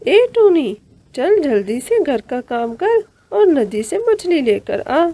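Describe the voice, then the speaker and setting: A woman's voice: sits high, very animated, takes it slowly, dark tone, pitched above the performer's own voice, full character voice. A woman voicing a cruel, bullying stepmother bird who scolds harshly in a cartoon story. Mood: bossy, demanding.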